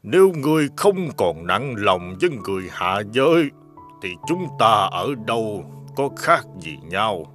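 An actor playing a philosophical immortal elder in an animated fairy tale, speaking in a measured, stately, musing way. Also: male voice, on the low side, soft background music. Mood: wistful, musing.

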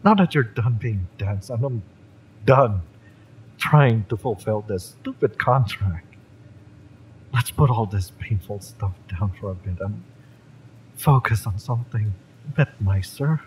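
A man playing a fiery, devoted mercenary in love, tough yet tender, in a romantic audio roleplay, with emphatic, halting delivery. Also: fairly low pitch, a little hiss or hum, lightly acted, low-quality recording. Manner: impassioned, emphatic, halting delivery with breathy drops.

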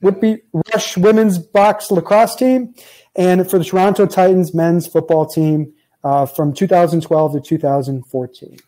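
A man in his forties hosts a health podcast from his office, upbeat and joking as he rolls through long introductions. His voice sits a little high yet stays warm and soft-edged. It comes through an earbud mic on a video call. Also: steady tone, a little slow, rough recording. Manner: upbeat, jokey, reads intro lists. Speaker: podcast host, chiropractor. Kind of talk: casual interview chat. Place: office with framed diplomas, indoors. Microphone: earbud headset on a video call.

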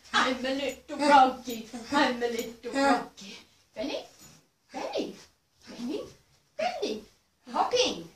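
High-pitched woman chanting playfully. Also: slight background noise. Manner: gentle, encouraging, chanting. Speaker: movement teacher leading a child. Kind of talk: playful sing-song kids' movement session. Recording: echoey room, distant mic.

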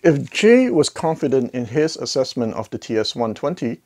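A man's voice: medium pitch, sing-song, moderate pace, a little mellow. A middle-aged man hosting a casual gear review to camera, conversational with jokey asides and rhetorical questions. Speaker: audio gear reviewer, video host. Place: indoor home listening room. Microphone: clip-on lapel mic.

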